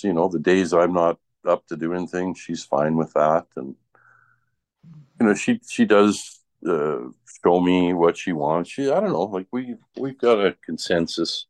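A man's voice: deep voice, lively intonation, unhurried, darkish tone, no echo. A man in casual, rambling conversation, telling personal anecdotes and often tagging sentences with "right?".

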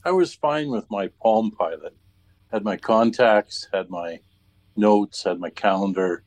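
A man in casual chat, rambling and thinking aloud in a halting way, repeating words as he goes. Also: on the low side, a little mellow.

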